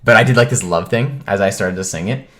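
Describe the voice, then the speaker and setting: A man with a low-pitched voice tells a personal story in a casual vlog style. He speaks informally, as if to viewers, and retells what people said in an animated way. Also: a little fast. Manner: animated retelling, acts out quoted remarks. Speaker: vlogger telling a personal story.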